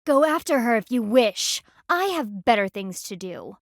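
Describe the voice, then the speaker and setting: A woman's voice: a little high, big pitch swings, moderate pace, dry recording, clean studio sound. A woman voicing a stern, haughty panda army lieutenant in a video game, speaking in a formal, clipped, dryly disdainful way.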